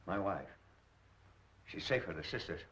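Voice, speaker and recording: An actor playing a frightened male suspect turned informant in a 1930s mystery film, grave and halting. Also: low-pitched, animated, a bit bright, slight background noise, exaggerated voice. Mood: grave, fearful. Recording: dull, lo-fi 1930s film soundtrack.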